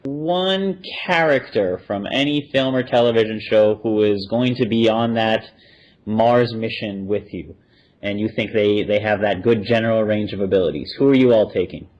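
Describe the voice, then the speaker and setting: A man's voice: fairly low pitch, moderate pace, a little mellow. A man hosting a podcast, casually moderating a panel and putting questions to the guests. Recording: dull lo-fi audio.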